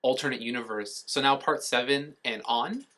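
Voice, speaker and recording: A man's voice: mid-range voice, normal speed, pushed and bright. A man co-hosting a podcast, explaining things in a casual, rambling way with offhand swearing. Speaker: podcast co-host. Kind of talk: casual chat.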